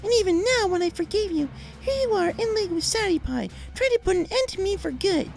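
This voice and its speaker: A woman voicing a deranged, murderous female pony in a shrill, theatrical manner for a dramatic story reading. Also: animated, brisk, gravelly, a little mellow, faint music behind, heavily acted. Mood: bitter menace. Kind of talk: dramatic fan fiction audio reading.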